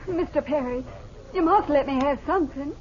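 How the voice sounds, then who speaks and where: A woman's voice: high-pitched, a little fast, lightly acted. An actress playing a polite, pleading young saloon girl in a 1950s western radio drama. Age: young adult. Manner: earnest, courteous. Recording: dull old radio recording.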